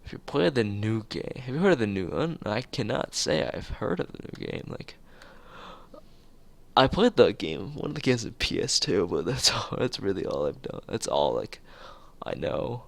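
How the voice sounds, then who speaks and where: A man's voice: on the low side, sing-song, moderate pace, creaky. A man streaming a visual novel, reading the text aloud and making casual asides.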